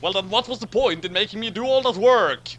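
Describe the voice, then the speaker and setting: A man's voice: sits high, brisk, fairly bright tone, voice pushed up. A man voicing an indignant, put-upon time-travelling alien doctor in a comic story reading, sarcastically polite. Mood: exasperated.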